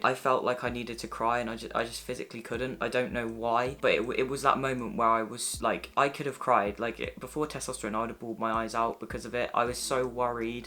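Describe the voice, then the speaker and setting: A man's voice: mid-range voice, sharp tone. A nineteen-year-old man, chatting casually to camera in an upbeat way, with lots of "like" fillers. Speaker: vlogger.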